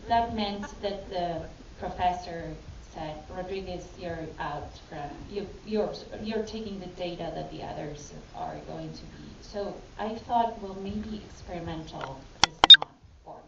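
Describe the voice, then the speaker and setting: Halting self-deprecating woman panelist. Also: lowish voice. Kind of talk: anecdotes at a panel. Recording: echoey hall from far off, dull, noisy.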